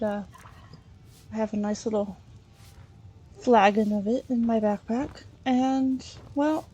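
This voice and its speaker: A woman narrating a video game in character as an adventuring scholar, halting and thinking aloud as she goes. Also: on the high side, dark tone, slight background noise. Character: chatty Breton scholar woman, treasure-hunting adventurer. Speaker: let's play host role-playing a character.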